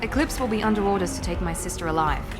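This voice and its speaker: A self-assured female operative played by an actress in a space adventure game. Her voice sits at a middle pitch, controlled and sure, with music playing underneath. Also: animated, fast talker, natural voice. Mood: confident. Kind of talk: scripted video game dialogue.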